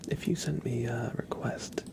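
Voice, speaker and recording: A man hosts a casual ASMR livestream in a breathy whisper. His voice has a gravelly fry underneath. He rambles hesitantly in relaxed gamer slang, as if chatting with viewers. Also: medium pitch, unhurried.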